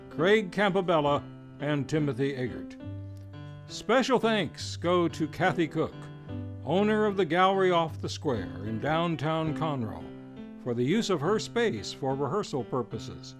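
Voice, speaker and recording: A man reading scripted announcements as the host of an old-time radio show, in a formal, theatrical manner with deliberate emphasis. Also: a little high, animated. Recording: guitar music behind the voice. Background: plucked guitar music.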